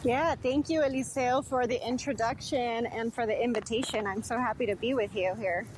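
A woman with a fairly high voice gives measured interview answers as an academic radio guest. She is outdoors, with faint background noise around her. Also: a little mellow, poor audio. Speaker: historian, professor as radio guest. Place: outdoors, outside a detention center.

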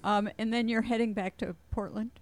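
A woman's voice: a little high, steady tone, a little fast. Woman co-host with brief wry asides. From upstate New York. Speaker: radio co-host. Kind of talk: casual live interview.

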